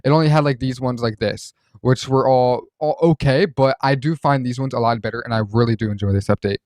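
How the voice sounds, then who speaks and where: A man presents a software walkthrough with a mid-pitched voice. He talks informally and rambles a little as he explains. The recording is dry, with no room sound around him. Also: brisk, no room sound. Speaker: host reviewing voice changer software.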